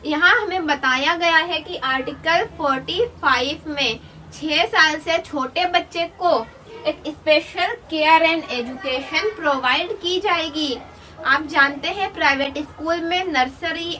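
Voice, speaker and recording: A woman's voice: high voice, bright, forceful tone. A woman teaching an online lesson, explaining step by step and mixing English terms into her Hindi. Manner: reads article titles slowly, then explains. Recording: distant mic with faint noise.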